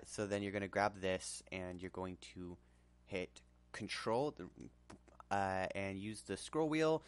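A man presenting a casual software tutorial in an informal, hesitant way. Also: low-pitched, clear, resonant tone.

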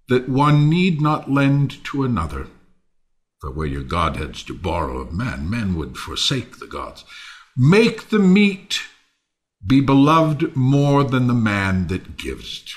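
Male actor as embittered noble host, declamatory Shakespearean style; bright, forceful, deliberately slow. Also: medium pitch. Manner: declamatory, theatrical. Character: bitter Athenian lord, mock-gracious host turned raging misanthrope. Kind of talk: Shakespearean audio play reading.

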